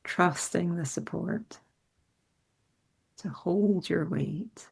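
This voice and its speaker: Woman guiding meditation, creaky low voice. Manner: soothing, unhurried phrasing with gentle instructions. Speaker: meditation guide. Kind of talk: guided meditation narration.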